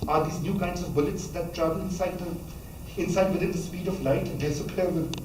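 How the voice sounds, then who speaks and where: A man, reading a memoir passage aloud at a measured pace, now and then stumbling and restarting a word. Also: sits high, fairly even intonation, background noise. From Pakistan. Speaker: author reading from his book. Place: college lecture hall. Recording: echoey hall, voice from a distance, faint ambience.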